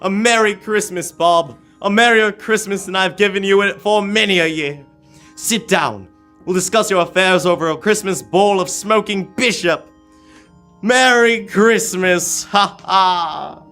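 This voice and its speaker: A man's voice: high voice, measured pace, bright, forceful tone, full character voice. A young man playing an old reformed miser giddy with joy, in exclamatory old-time radio drama style. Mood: merry. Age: teenager. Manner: exclamatory, theatrical. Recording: live radio play with music.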